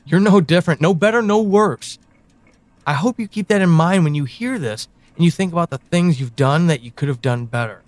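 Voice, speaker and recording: An actor plays a brooding man who seems to know too much, in an intimate audio drama monologue. His voice sits a little high for a man and is recorded close and dry. He addresses one person directly, choosing each phrase with deliberate, halting pauses. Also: no echo, natural voice. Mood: reassuring, grave. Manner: deliberate, halting delivery with sighs and pointed pauses. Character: brooding, knowing man confiding in an amnesiac woman.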